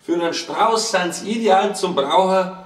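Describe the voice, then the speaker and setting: A man's voice: high-pitched, a little slow. A man with a Bavarian accent reading his own comic verse tales aloud, playfully, with wry asides. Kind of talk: comic verse storytelling in dialect, read aloud live. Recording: roomy live recording, distant mic, faint noise.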